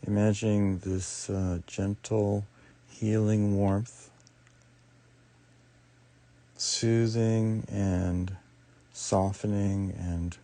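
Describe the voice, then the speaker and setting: A man leading a guided meditation as teacher, speaking soothingly in short, unhurried phrases with long pauses. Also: lowish voice, flat delivery, dark tone. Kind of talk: guided meditation, improvised soft instruction.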